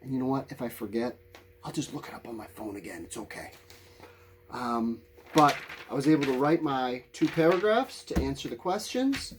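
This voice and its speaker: A man's voice: mid-range voice, expressive, normal speed, slight background noise. A man teaching a lesson to children, thinking aloud in simple, encouraging explanations. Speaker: elementary school teacher. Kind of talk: informal lesson, thinking aloud while writing answers.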